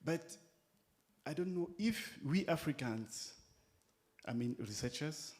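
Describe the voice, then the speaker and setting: A man with a Cameroonian accent speaking off the cuff at a conference discussion, haltingly, repeating words as he searches for phrasing. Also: on the high side, animated, darkish tone. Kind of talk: impromptu speech at a conference discussion.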